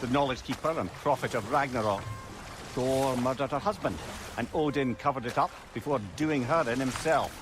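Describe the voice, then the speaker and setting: An actor playing a talkative, learned old counsellor in a video game, with an eloquent, theatrical way of talking. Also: male voice, mid-range voice, normal speed, music in the background, close to an everyday voice. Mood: wry storytelling. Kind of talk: video game dramatic acting.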